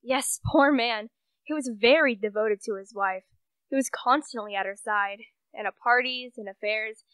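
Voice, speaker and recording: A woman's voice: fairly high pitch, moderate pace, fairly bright tone, smooth, no room sound, natural voice. A young woman playing a gossipy, pitying society woman who tells stories chattily in a radio play. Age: young adult. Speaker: student actor.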